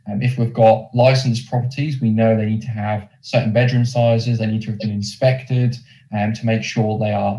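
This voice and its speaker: A man's voice: medium pitch, dark tone, reverberant, low-quality recording. A man with a Norfolk English accent giving an explanatory webinar presentation as a presenter. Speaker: presenter, mortgage lender representative.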